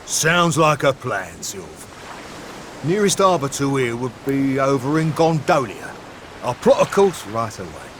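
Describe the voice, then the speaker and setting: A gruff, hearty sailor talks bluntly in a game cutscene, peppering his speech with coarse slang. His pitch rises and falls a lot while he keeps an unhurried pace. Faint surf can be heard behind him. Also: very animated, fairly bright tone, a little hiss or hum. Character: gruff salt-of-the-earth male sailor, adult. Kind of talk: video game cutscene voice acting.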